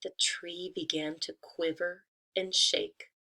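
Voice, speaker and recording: A woman teaching and reading aloud to children, speaking deliberately and expressively and addressing them directly. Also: lowish voice, little pitch movement, vocal fry, bright, forceful tone. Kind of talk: children's read-aloud, instructional storytelling.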